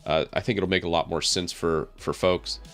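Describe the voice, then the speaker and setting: A man, slightly raspy from a head cold, talks casually on a live stream, thinking aloud as he works with lots of fillers and small laughs. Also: low-pitched, fairly even intonation, normal speed, a bit bright, soft background music. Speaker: tech streamer. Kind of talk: casual live stream talk while working on screen.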